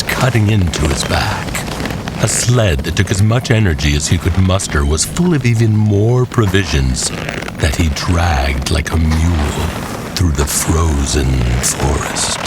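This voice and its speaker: A man narrating a scripted dramatic tale in a folksy, deliberate storyteller's manner, with music behind him. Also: a bass voice.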